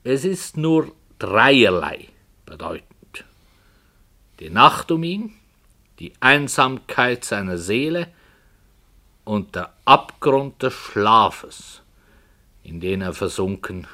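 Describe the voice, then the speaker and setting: A man playing a brooding writer in a radio play, solemnly and hesitantly telling a dark tale with earnest, dramatic emphasis. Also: fairly low pitch, big pitch swings, exaggerated voice. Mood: intense, solemn. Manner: slow, hesitant, earnest, dramatic swells and hushes. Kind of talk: 1960 German radio play, literary storytelling.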